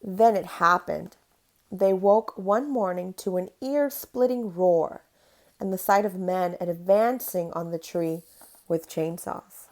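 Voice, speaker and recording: A woman's voice: lowish voice, slow. Woman reading a children's story aloud. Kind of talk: read-aloud storytelling from a picture book.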